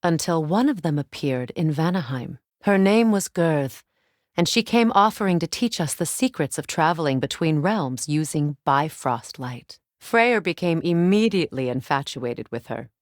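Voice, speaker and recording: An actress playing a proud, mature Norse goddess in a video game, measured and formal in speech, wry and guarded. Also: female voice, deep voice, expressive, no echo, clean studio sound. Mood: reflective. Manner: measured, dignified, formal phrasing. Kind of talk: dramatic game dialogue and storytelling.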